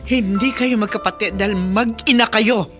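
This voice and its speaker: A woman acting a firm, calm, serious relative in a radio drama. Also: mid-range voice, moderate pace, over music, close to an everyday voice. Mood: grave, insistent. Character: firm, calm woman confirming a family secret.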